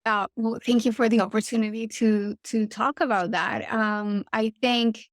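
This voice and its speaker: A woman's voice: fairly high pitch, steady tone, normal speed, dry recording, studio quality. A middle-aged woman from Colombia with a Colombian Spanish accent, talking as a guest in an interview, earnest and passionate, with frequent restarts. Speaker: trauma surgeon guest. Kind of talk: casual interview talk.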